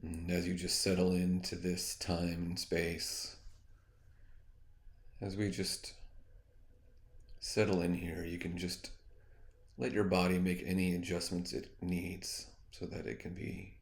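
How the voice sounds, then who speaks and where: A man with a deep, creaky voice leads a guided meditation. He speaks very slowly, leaving long pauses between soft suggestions. His manner is soothing and hypnotic, like a teacher easing a listener into rest. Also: monotone.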